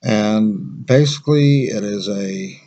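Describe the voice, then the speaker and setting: A man giving a casual product review in a plain-spoken, halting, conversational way. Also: lowish voice, mellow, lo-fi sound.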